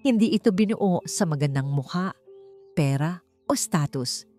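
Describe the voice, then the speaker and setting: Female narrator, Filipino accent, soft dark tone, scripted love-story reading, light music underneath. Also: on the low side, lively intonation, a little slow. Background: background music.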